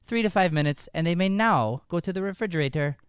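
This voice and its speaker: Man, smooth higher-pitched voice, chatty affectionate show host, dry close sound. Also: animated, fast talker, no echo. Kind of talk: live radio-style show banter.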